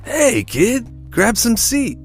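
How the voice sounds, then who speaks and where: A man's voice: very high-pitched, big pitch swings, takes it slowly, creaky, soft background music, heavily acted. A man playing an older retired police detective in a noir audio drama, blunt and paternal, using old-time slang. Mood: jovial, teasing. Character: gruff, fatherly retired male police detective.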